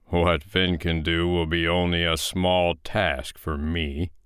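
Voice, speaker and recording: A man's voice: sits low, flat delivery, a bit bright, clean tone, dry recording, clean studio sound. A man voicing a huge, boastful, impatient giant in a storybook tale, roaring and growling his words. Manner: roaring and growling, slow drawn-out speech.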